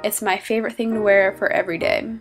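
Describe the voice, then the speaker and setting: A woman's voice: mid-range voice, steady tone, quick pace, music in the background. A young woman with a stuffy cold, chatting upbeat through a makeup tutorial in her small bedroom and naming each product as she goes. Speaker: beauty vlogger.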